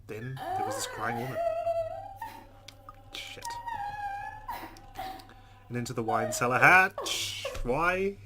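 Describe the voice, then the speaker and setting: A man playing a game, reading its text aloud dramatically and reacting in a jumpy, expressive way. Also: mid-range voice, slow pace, long pauses, very noisy. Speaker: let's player. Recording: loud game sound behind voice. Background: game audio.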